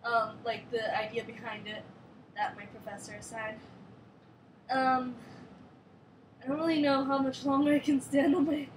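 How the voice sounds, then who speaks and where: High-voiced young woman vlogging. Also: level tone, normal speed, long pauses, slight background noise, low-quality recording. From Switzerland. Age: young adult. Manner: rambling, filler-heavy, strained while doing a headstand. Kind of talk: casual chat.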